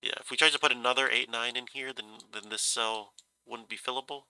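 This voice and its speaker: A man's voice: medium pitch, fairly even intonation, a little fast, bright, forceful tone, clear, resonant tone, no echo. A man with a stuffy nose and a slightly scratchy voice from a cold, musing hesitantly as he talks himself through a puzzle and corrects himself. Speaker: puzzle solver. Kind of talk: casual thinking-aloud puzzle solving.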